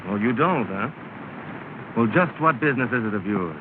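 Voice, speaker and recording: An actor playing a jealous, sulky well-off suitor in a 1930s film, with a clipped, haughty manner. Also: male voice, mid-range voice, expressive, moderate pace, phone-quality sound, close to an everyday voice. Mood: irritated, haughty. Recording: scratchy, thin, noisy 1930 film soundtrack.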